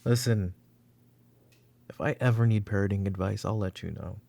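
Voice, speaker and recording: Man playing a gravelly, low-voiced mafia boss father. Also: steady tone, moderate pace. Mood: stern, weary. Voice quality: gravelly, breathy, husky. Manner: commanding, curt, slipping into hushed muttering. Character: weary, short-tempered mafia boss father, possessive and proud. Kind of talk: roleplay ASMR audio drama acting. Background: background music.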